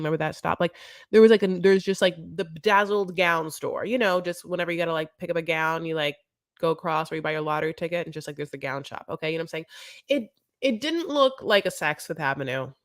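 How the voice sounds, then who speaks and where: A woman's voice: sits low, fast, dry recording, clean studio sound. A woman hosting a casual live recap chat, rambling and campy with lots of asides. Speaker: show recap host.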